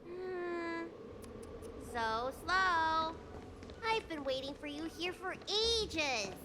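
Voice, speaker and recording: A woman's voice: extremely high pitch, expressive, drawn-out words, noisy background, full character voice. A woman playing a precocious, frank young dragon girl, a reluctant noble, in a video game. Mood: impatient. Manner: frank, childlike bluntness. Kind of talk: English video game voice acting.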